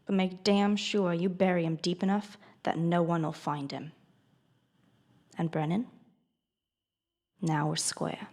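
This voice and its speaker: Cold, creaky-voiced ruthless sellsword. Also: female voice, lowish voice, fairly even intonation, slow pace, pauses often, darkish tone. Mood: curt, commanding. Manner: curt, matter-of-fact. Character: cold, ruthless female sellsword, blunt and commanding. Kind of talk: fantasy audio drama acting.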